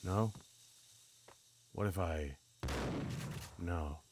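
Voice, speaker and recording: A man's voice: low voice, drawn-out words, very noisy. A man giving casual, offhand gameplay commentary, half muttering to himself as he practices voice acting.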